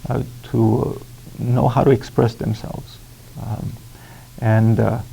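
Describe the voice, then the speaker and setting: Creaky, mellow man explaining formally. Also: on the low side, level tone, low-quality recording. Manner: deliberate, halting, formal wording. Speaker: expert interviewee. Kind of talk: explanatory interview.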